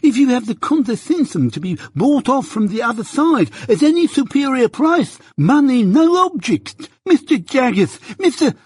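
A man voicing a desperate male suitor, begging in a shrill, frantic way. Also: big pitch swings, normal speed, few pauses, a little mellow, husky, no echo, full character voice.

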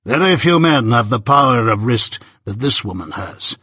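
Domineering older gentleman voiced by a male narrator, slow, emphatic, commanding, slightly husky, for a classic storybook. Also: medium pitch, expressive, no room sound, clean studio sound, heavily acted. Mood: coolly appraising. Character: domineering, deliberate older male lawyer and guardian. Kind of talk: audiobook character voice.

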